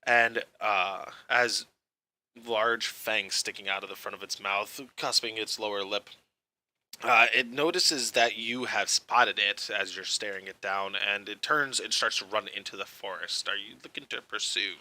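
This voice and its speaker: Bright-voiced game master narrating. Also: male voice, on the low side, normal speed, low-quality recording. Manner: hesitant, laughing storyteller.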